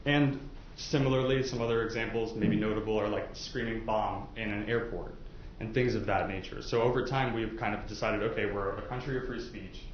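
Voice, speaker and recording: Low-voiced man questioning jurors, echoey room. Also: fairly even intonation. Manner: halting, conversational, hedging with "I guess" and "you know". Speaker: lawyer questioning prospective jurors. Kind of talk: informal courtroom questioning and explaining. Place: indoor courtroom. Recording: echoey courtroom, dull sound, faint noise.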